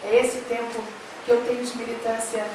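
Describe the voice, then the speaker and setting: A woman's voice: fairly high pitch, flat delivery, brisk, background noise. A middle-aged woman from Rio de Janeiro with a Carioca accent touched by São Paulo, giving an earnest, filler-laden speech at a public forum, growing more emphatic as she goes. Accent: Carioca Portuguese, mixed with São Paulo. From Rio de Janeiro, Brazil. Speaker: education official speaking on a panel. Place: large hall at a public forum. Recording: echoey hall, speaker far from mic.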